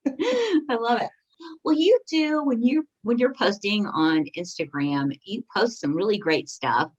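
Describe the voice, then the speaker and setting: A woman hosting a podcast interview, eager and quick to affirm her guest. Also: mid-range voice, expressive, breathy edge. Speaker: podcast host. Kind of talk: casual interview. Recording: muffled lo-fi audio.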